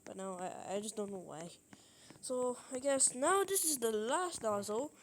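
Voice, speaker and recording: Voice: mid-range voice, animated, unhurried, a little hiss or hum. A gamer from Malaysia, giving casual, rambling, playful game commentary. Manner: rambling, playful, repeats words. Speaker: gaming video host.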